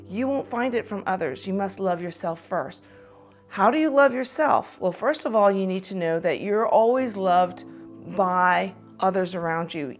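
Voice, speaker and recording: A woman raised in Taiwan, an optometrist, giving an earnest prepared talk with frequent pauses and restarts. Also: medium pitch. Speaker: optometrist speaking on women's empowerment.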